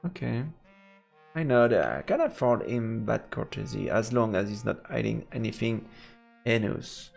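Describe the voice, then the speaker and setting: A man's voice: on the low side, expressive, normal speed, vocal fry, a little mellow. A man streaming a let's play, reading game text aloud and dropping in playful murmured interjections. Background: game music.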